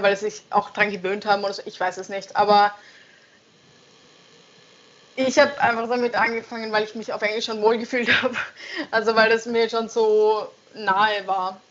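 A woman's voice: mid-range voice, steady tone, moderate pace. A young woman songwriter answering interview questions casually, hesitant and searching for words, with frequent laughs. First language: German. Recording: dull lo-fi sound.

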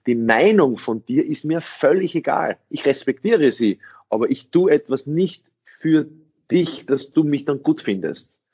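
A man's voice: a little high, lively intonation, measured pace, warm tone, slightly rough. A middle-aged man, an English speaker, answering interview questions as a sales trainer in an emphatic way, repeating phrases and asking rhetorical questions. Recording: dull lo-fi recording.

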